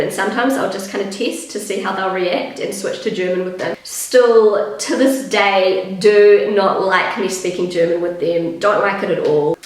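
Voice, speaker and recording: A woman in her thirties with a New Zealand accent, chatting casually in a vlog, her voice croaky with vocal fry and a little out of breath. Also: mid-range voice. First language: English. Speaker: vlogger. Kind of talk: casual vlog talk to camera. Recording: very echoey room.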